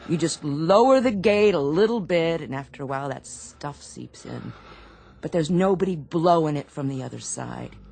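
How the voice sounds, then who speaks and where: A woman playing a no-nonsense Navy ship's doctor in a radio drama, husky, firm and insistent. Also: sits low, animated, normal speed, darkish tone, heavily acted. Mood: steady, probing.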